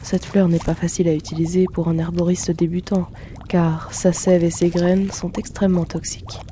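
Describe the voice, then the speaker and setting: Casting: male actor for courteous potion-shop keeper, ASMR roleplay. Warm and mellow, flat gentle delivery, softly teasing, unhurried. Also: high-pitched, a little hiss or hum, natural voice. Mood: calm, explanatory. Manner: polite, soft-spoken, unhurried, gently teasing. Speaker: roleplay audio performer.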